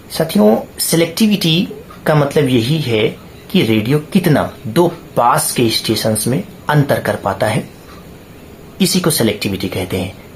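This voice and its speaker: A man's voice: mid-range voice, slight background noise. A man with an Indian accent giving an explanatory tutorial point by point, in a didactic way, posing questions and answering them himself. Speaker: presenter of a radio hobby tutorial.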